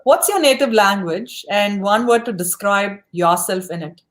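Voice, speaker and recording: A woman's voice: mid-range voice, measured pace, a bit bright. A woman hosting a podcast interview, asking questions and giving effusive praise. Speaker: podcast host.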